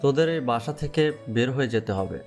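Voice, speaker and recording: A man's voice: mid-range voice, expressive, brisk, darkish tone, faint music behind, lightly acted. Villain uncle for an audio drama: middle-aged, greedy and domineering, curt orders in a level mid-range male voice, as a man acts him. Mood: cold. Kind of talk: Bengali audio drama acting.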